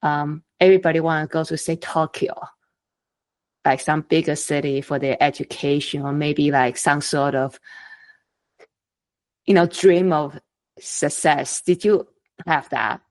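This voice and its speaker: Chatty podcast host from Taiwan. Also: female voice, low-pitched, steady tone, measured pace, long pauses. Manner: chatty, loose phrasing with many "you know"s. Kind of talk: casual interview.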